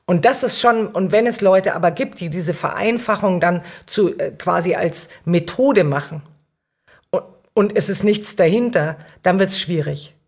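A woman's voice: on the low side, measured pace, darkish tone. A woman, a guest in a casual reflective discussion, explaining earnestly and often correcting herself mid-sentence. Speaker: podcast guest, city councillor.

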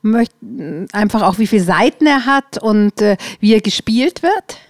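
A woman with a fairly high voice talks informally as an interviewer, in a close, dry sound. She describes things conversationally, feeling for the right word. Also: expressive, moderate pace, no echo.

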